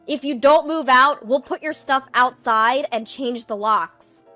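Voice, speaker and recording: A woman's voice: sits high, unhurried, bright, forceful tone, dry recording, faint music behind. A woman voicing a fed-up husband in a dramatized story, emphatic and confrontational as the character lays down ultimatums. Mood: threatening.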